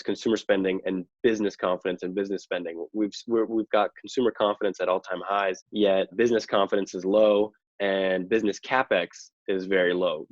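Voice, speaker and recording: A man's voice: low voice, dry recording, muffled recording. A man answering conversationally as an interview guest, explaining things in an analytical way. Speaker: podcast guest, real estate investor.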